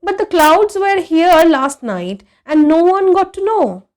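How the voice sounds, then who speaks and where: Woman voicing an earnest, high-pitched young boy. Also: animated, slow pace, darkish tone, exaggerated voice. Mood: puzzled, eager. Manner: earnest, questioning, insistent. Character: earnest, wistful young boy asking about clouds.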